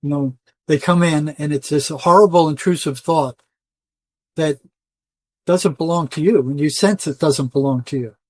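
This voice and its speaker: Older male interviewee, slightly high voice, dry close sound, folksy and emphatic storyteller. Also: moderate pace, a little mellow, dry recording. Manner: emphatic, colloquial, often quoting imagined dialogue. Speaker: interview guest, retired psychotherapist.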